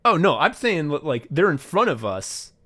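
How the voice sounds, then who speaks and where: A man's voice: fairly high pitch, sing-song, moderate pace, fairly bright tone. A man co-hosting a casual podcast, swearing freely and telling stories emphatically, acting out bits of conversation as he goes. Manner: profane, effusive, emphatic storytelling; acts out remembered exchanges in dialogue. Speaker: podcast host and audio play writer. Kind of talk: casual podcast chat, retrospective.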